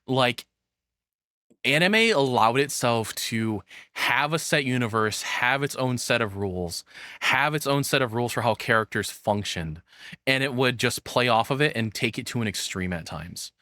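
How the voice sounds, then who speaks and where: A man's voice: medium pitch, moderate pace, bright, forceful tone, no room sound, clean studio sound. A man in his thirties giving casual, rambling reaction commentary as the host, swearing freely. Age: 30s.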